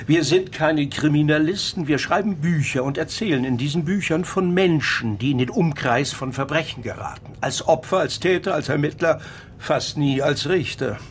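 A man's voice: on the high side, moderate pace, slight background noise, natural voice. An actor playing an opinionated, self-assured male crime novelist in a German radio play, speaking in a pompous, lecturing way. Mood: lecturing, disdainful.

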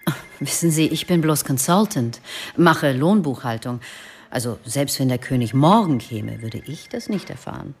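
An actress playing a friendly, discreet office consultant in a naturalistic radio drama, with a casual, reassuring manner. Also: female voice, extremely low pitch, expressive, normal speed, darkish tone, slightly rough, soft background music, exaggerated voice. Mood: friendly, candid.

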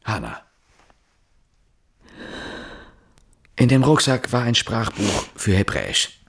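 An actor playing an insistent, probing police inspector, questioning deliberately in a naturalistic radio drama. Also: male voice, mid-range voice, gravelly, husky, exaggerated voice. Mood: deliberate, building.

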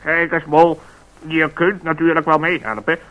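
A man's voice: a little high, flat delivery, normal speed, dull audio, close to an everyday voice. A man playing a fussy, flustered, secretive talking bird in a radio play, exasperated and correcting himself.

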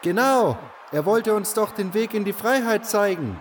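Fantasy radio play: doubting male cult follower played by a man, high, swooping voice, calling out from far off. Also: lively intonation, moderate pace, slight background noise. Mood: demanding, agitated. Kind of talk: fan audio drama fantasy acting.

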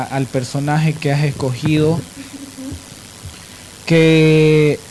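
Man, raised pitch, radio host, didactic commentary, emphatic repetition, faint background noise. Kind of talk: discussion and commentary on live radio. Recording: noisy live radio with steady hiss.

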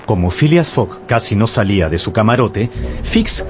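A man narrating a radio drama from a script in a storytelling, clearly articulated way. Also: on the low side, sing-song, normal speed. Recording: music behind the voice.

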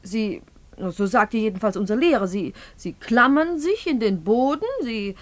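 High-voiced, naive young-hearted wife. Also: female voice, expressive, measured pace, no room sound. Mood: naive, earnest. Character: naive, tender, anxious wife of a schoolteacher. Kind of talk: radio play dialogue.

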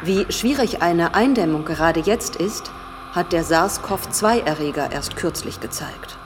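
An actress playing an authoritative female professor, lecturing gravely and formally in a German radio drama. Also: on the low side, expressive, measured pace, music in the background. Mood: grave, measured.

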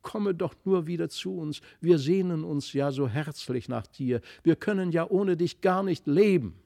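A man voicing an excitable, yearning young child in a storybook reading, exclaiming in a drawn-out, pleading way. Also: a little high, vocal fry, voice pushed up. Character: excitable, yearning little boy and his sister calling out.

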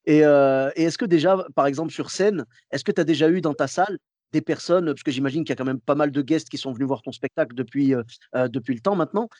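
A man's voice: fairly high pitch, quick pace, a little mellow, no room sound. A man hosting a podcast interview, asking chummy, casual questions. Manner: friendly, chummy questions, laughs along.

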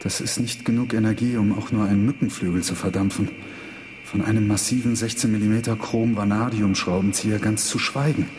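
A man's voice: fairly low pitch, steady tone, brisk, a little mellow, lightly acted. A man playing an eager, ambitious experimental physicist in a radio drama, talking in an emphatic, technical way. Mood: insistent, analytical. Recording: clear background hum.

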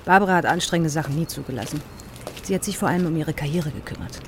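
A woman's voice: low voice, quick pace, background noise. An actress playing a guarded, direct East German woman in a radio drama, understated, cool and clipped. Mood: sceptical, frank.

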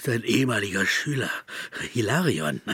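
An actor in a radio drama playing a shrunken, sly tempter who poses as a former pupil, speaking with insinuating, reproachful persuasion. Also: male voice, on the low side, very animated, moderate pace, fairly bright tone, no echo, heavily acted. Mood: insistent, sorrowful. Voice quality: husky.

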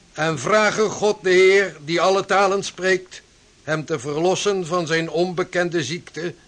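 High-pitched, commanding ship's leader. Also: male voice, fairly bright tone. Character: pious, authoritative leader of stranded Dutch sailors. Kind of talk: radio drama acting.

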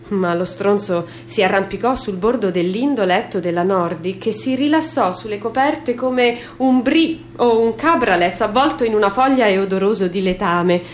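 A woman's voice: medium pitch, slight background noise. A woman narrating a piece of fiction in a dramatic, theatrical reading style. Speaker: stage reader, narrator. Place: live radio theatre reading.

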